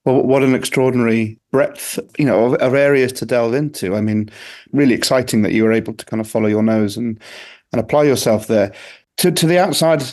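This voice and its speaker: A man's voice: mid-range voice, a little fast, darkish tone. A man hosting a podcast who introduces his guest and asks long, enthusiastic questions that wander a bit. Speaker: podcast host. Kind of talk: podcast interview.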